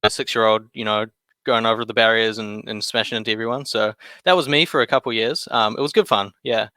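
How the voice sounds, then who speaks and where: A young man, answering interview questions in a relaxed, easygoing way. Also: lowish voice, a little fast, no echo. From New Zealand. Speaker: interview guest.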